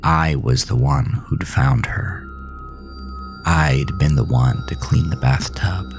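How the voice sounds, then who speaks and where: A man tells a scripted horror story in the first person. His voice is low and unhurried, with a measured, dramatic delivery, and music plays behind him. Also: vocal fry.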